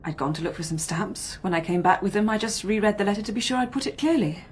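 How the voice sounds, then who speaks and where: A woman's voice: mid-range voice, fast talker, a little hiss or hum, natural voice. An actress playing a tense, guarded young upper-class woman in a radio drama, clipped and haunted. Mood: defensive.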